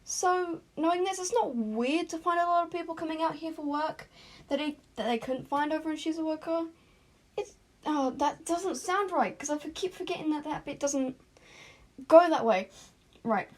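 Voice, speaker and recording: A woman's voice: sits high, normal speed. A woman narrating fan fiction as an amateur, in an informal, self-conscious way with retakes and asides. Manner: informal, self-conscious, often stumbles and re-says lines.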